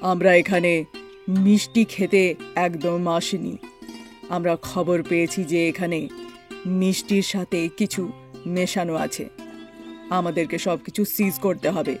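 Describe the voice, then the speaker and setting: Woman putting on a low, official policeman voice. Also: steady tone, slow pace, long pauses. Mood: stern. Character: stern, official male police sub-inspector. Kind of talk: cartoon story character acting. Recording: music behind the voice.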